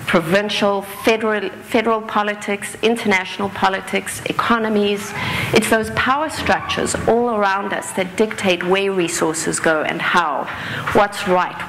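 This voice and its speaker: A woman from Africa, giving an earnest live talk on stage, sometimes pausing with fillers and correcting herself. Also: mid-range voice, a bit bright, a little hiss or hum, rough recording. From South Africa. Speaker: speaker. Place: stage in an auditorium.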